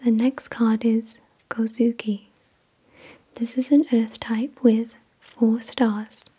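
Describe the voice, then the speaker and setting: A woman whispering in a breathy, unvoiced voice, reading in a gentle, measured ASMR style. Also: fairly high pitch, flat delivery. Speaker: ASMR host. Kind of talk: whispered ASMR card unboxing, scripted reading.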